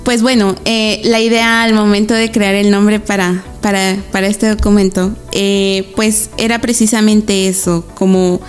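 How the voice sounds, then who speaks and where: A young woman from Guatemala speaks as a guest on a radio interview. She talks at a middling pitch, hesitating on many fillers and laughing easily, with faint music underneath. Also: flat delivery, measured pace, few pauses. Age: 20s. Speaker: interview guest, rural social worker.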